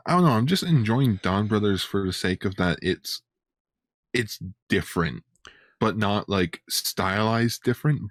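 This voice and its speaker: A man's voice: lowish voice, animated, a little slow, no room sound, clean studio sound. A man co-hosting a podcast in casual fan chat, informal and sweary in his way of talking. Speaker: podcast co-host.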